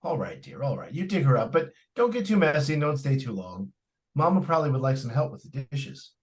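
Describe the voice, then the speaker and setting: A man's voice: medium pitch, very animated, brisk, close to an everyday voice. A man plays a skeptical middle-aged father in 1940s radio style. His delivery swoops up and down, indulgent and dismissive, with little hesitant stammers. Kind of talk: 1940s radio drama acting.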